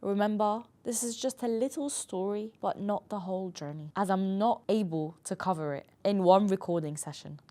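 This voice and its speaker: A woman's voice: medium pitch, normal speed, hardly any pauses, mellow. A woman in her twenties, a mental health professional whose first language is not English, telling her own story in an earnest monologue and leaning hard on key words. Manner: earnest, emphatic, dwelling on words.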